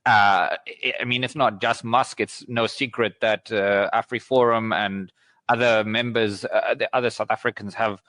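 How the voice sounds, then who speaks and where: A man's voice: on the low side, steady tone, moderate pace, bright, forceful tone, no room sound. Dry-sounding man hosting an interview. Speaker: interviewer, podcast host. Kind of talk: conversational interview questioning.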